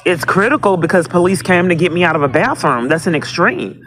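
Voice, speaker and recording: A woman's voice: on the low side, animated, brisk, a crowd in the background. A woman calling police for help, speaking insistently and repetitively and tending to talk over the other person. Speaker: caller to police dispatch. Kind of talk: phone call pleading for help.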